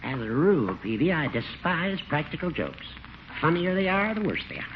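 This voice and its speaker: An actor playing a dry, superior, dismissive judge in a 1940s radio comedy. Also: male voice, a little high, big pitch swings, normal speed. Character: testy, dry older judge, a dismissive friend. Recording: muffled, hissy 1940s radio recording.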